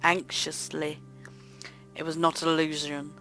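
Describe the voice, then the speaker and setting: A woman hosting a let's play, reading visual novel narration aloud in an expressive, theatrical way. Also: deep voice, measured pace, soft background music, poor audio. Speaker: host of a gaming let's play channel.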